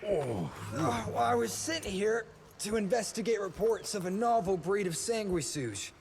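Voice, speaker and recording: An actor playing a nervous, eager, bookish vampire researcher, polite and pedantic, in a video game cutscene. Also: male voice, high-pitched, expressive, moderate pace, gravelly, darkish tone, slight background noise. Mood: eager explaining.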